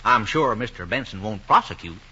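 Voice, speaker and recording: An actor playing a good-natured small-town sheriff with a husky voice and a Southern American accent, in old-time radio drama style. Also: male voice, lowish voice, lively intonation, brisk, sharp tone, heavily acted. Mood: businesslike, kindly. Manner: folksy, easygoing. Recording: muffled old radio recording.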